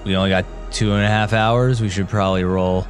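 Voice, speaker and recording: A man's voice: lowish voice, steady tone, fairly quick. A man speaking casually as a player in a tabletop game. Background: soft background music.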